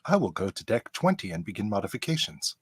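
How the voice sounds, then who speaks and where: A man's voice: lowish voice, sing-song, fast talker, husky, no room sound. A man playing a polite android officer with formal, precise speech in a live radio play. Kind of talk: amateur live radio-play table read.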